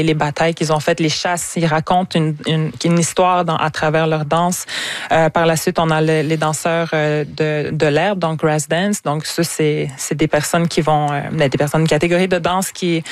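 A woman's voice: sits low, no echo. A woman, a guest explaining dance categories hesitantly. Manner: hesitant, many fillers and restarts. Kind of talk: explanatory interview answers.

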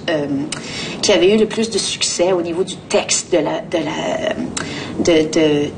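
A woman from Canada with a Canadian French accent, answering interview questions conversationally, often repeating words as she searches. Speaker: theatre artistic director, interview guest. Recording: distant mic, faint rumbling noise.

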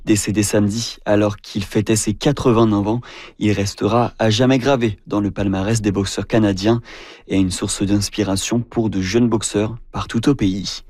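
Male radio reporter, low voice, formal scripted read, dry sound. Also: steady tone, normal speed, a little mellow, no echo. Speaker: news reporter.

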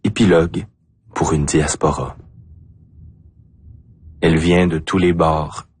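A man with an Acadian French accent reciting spoken-word poetry deliberately, in short phrases with pauses. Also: extremely low pitch, level tone, slightly rough. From Acadia, New Brunswick, Canada. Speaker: poet.

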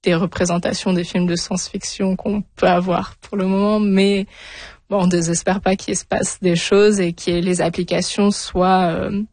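A woman's voice: lowish voice, little pitch movement, moderate pace, darkish tone, no echo. A young woman from France answering interview questions casually, hesitating often with fillers and restarts. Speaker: interview guest, new PhD in physics.

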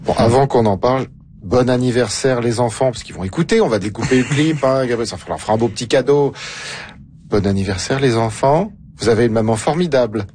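A man's voice: mid-range voice, fairly quick, darkish tone, slight background noise. A middle-aged man hosting a radio show in a bantering, teasing and self-deprecating way. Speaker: radio host. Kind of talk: light, bantering on-air chat.

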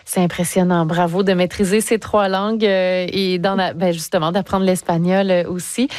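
A woman's voice: fairly low pitch, darkish tone, no echo. A woman hosting a radio interview, friendly and encouraging with light laughs. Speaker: radio host. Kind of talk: broadcast interview.

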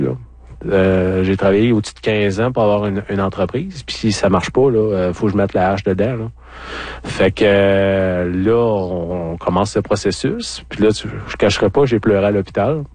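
A man's voice: lowish voice, normal speed, a little mellow, no room sound. A man with a Quebec French accent, telling a personal story as an interview guest in a candid, colloquial way. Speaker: interview guest, former farmer.

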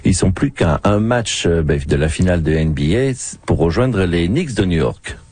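A man's voice: deep voice, expressive, normal speed, a little mellow, slightly rough, dry recording. A man hosting a live radio news program and handing over to reporters.

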